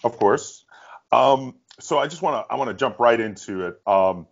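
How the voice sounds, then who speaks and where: A man's voice: medium pitch, animated, normal speed, creaky, muffled recording. A man hosting an interview, putting questions in a casual conversational style. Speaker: interview host.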